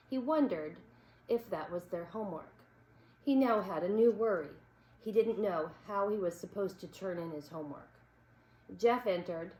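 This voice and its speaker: A woman reading a children's story aloud as an expressive narrator. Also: lowish voice. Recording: echoey room with background noise.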